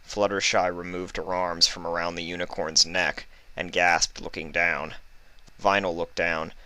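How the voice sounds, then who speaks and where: A man's voice: sits low, flat delivery, unhurried, pushed and bright, no room sound. A man reading a story aloud as scripted narration. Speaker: fan fiction reader.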